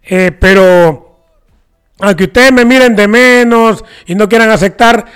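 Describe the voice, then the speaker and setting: Honduran male sports co-host, high smooth voice, playful banter. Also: measured pace, a bit bright, faint music behind. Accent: Honduran Spanish. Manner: joking, playful, reads sponsor plugs. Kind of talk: casual sports talk banter.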